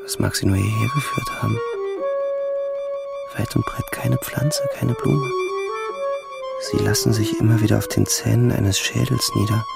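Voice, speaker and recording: An actor playing a brooding young soldier, musing in a hushed, reflective voice as radio drama narration. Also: male voice, on the low side, steady tone, normal speed, creaky, pauses often, loud music behind the voice. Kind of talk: literary first-person radio drama narration.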